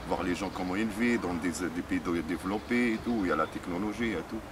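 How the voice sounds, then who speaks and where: A middle-aged man from Algeria with an Algerian accent, a native Arabic speaker, answering interview questions haltingly with many fillers and restarts. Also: sits low. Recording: distant mic with street noise.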